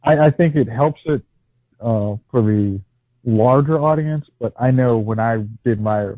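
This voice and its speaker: A man's voice: mid-range voice, dry recording. A man in casual podcast chat who talks hesitantly and often restarts his sentences. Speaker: podcast co-host. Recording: tinny phone-like line.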